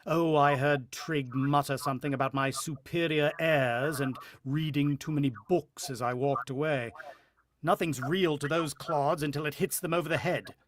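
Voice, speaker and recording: A man plays a superior, short-tempered husband in an old-time radio thriller. His voice sits a little high as he acts and narrates with condescending edge. A low rumble of noise runs under the recording. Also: fairly even intonation, normal speed, close to an everyday voice. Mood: irritated, uneasy. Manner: condescending, irritable. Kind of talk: radio drama acting with first-person narration.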